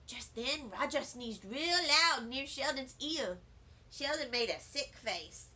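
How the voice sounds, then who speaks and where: A woman's voice: sits high, expressive, slow, bright, forceful tone. A woman reading a children's book aloud, narrating in a chatty, wide-eyed first-grader voice. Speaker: storybook reader.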